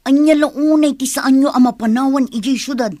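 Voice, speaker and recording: High-voiced, scolding family matriarch played by a woman. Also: normal speed, warm tone, no room sound, clean studio sound, close to an everyday voice. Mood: nagging. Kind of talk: radio drama acting in Ilocano.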